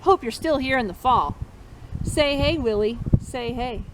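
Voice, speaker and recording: A woman's voice: high voice, animated, unhurried, background noise. A woman reading a picture book aloud to children as storytime host, encouraging listeners and chanting along with them. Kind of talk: interactive read-aloud for children.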